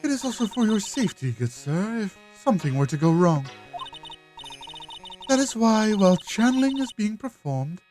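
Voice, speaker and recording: A prim, haughty middle-aged matron from a video game, played by a woman. She speaks with stern, stately formality and archaic courtesy, her pitch rising and falling with each pronouncement. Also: very animated, normal speed, dark tone, soft background music, natural voice, low-quality recording.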